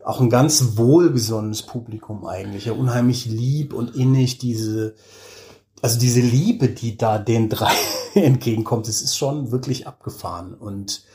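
Guy getting interviewed, medium pitch, chatty and colloquial, tells stories, kinda self-deprecating. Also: measured pace, mellow. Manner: colloquial, self-deprecating, acts out quoted remarks. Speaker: interview guest, audio drama director. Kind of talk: casual interview talk, anecdotal.

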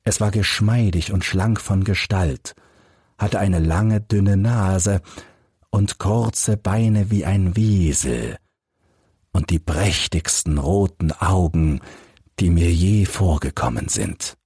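A man narrating a story as an audiobook, with a measured, deliberate delivery. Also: low voice, darkish tone, husky, dry recording, studio quality.

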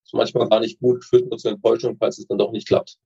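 Husky, mellow man on a muffled recording. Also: mid-range voice, little pitch movement, fast talker. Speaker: interview guest, dentist with orthodontic practice. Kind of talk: casual chat interview. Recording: dull, muffled lo-fi connection.

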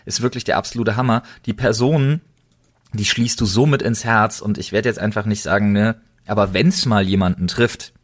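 Young man, low voice, podcast host, casual chat. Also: steady tone, normal speed.

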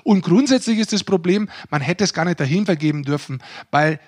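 Higher-voiced man. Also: expressive, a little fast. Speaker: podcast co-host. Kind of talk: casual opinionated discussion.

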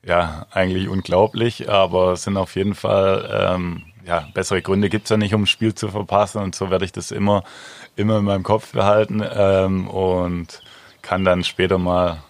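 A man in his thirties answering interview questions in a relaxed, hesitant way with many fillers. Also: low-pitched, normal speed. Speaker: handball player, guest.

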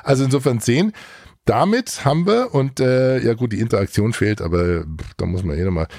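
A man's voice: medium pitch, lively intonation, fairly quick, creaky, darkish tone, no room sound, studio quality. A man hosting a casual podcast review, chatty and thinking aloud as he talks.

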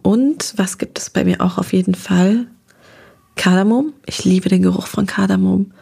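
A woman of middle pitch with a gravelly, vocal-fry texture, chatting as an interview guest. She sounds enthusiastic and warm about her subject and talks in long, winding sentences. Her tone is relaxed and conversational. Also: normal speed. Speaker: interview guest, restaurant critic.

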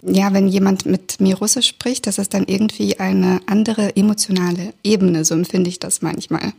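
A woman from Kazakhstan hosting a podcast interview, speaking thoughtfully and reading her introductions smoothly, recorded in a room at a language institute. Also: medium pitch, a little mellow. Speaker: podcast host.

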